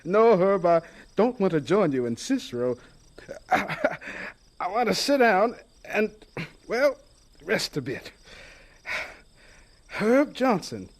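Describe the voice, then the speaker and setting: An actor playing an earnest, folksy, good-humored agriculture professor in a radio drama. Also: male voice, high-pitched, very animated, takes it slowly, long pauses, heavily acted. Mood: amused, friendly.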